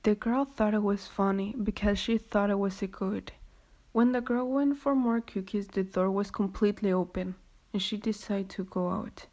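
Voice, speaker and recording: A woman tells a scripted radio drama story as its narrator. Her voice is mellow and of average pitch. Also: normal speed.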